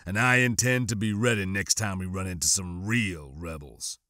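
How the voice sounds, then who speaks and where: Man voicing a low, grave, cautious stormtrooper. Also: pushed and bright, no room sound, clean studio sound. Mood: matter-of-fact. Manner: slow, firm, serious. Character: grave, wary male stormtrooper, guarded and cautioning.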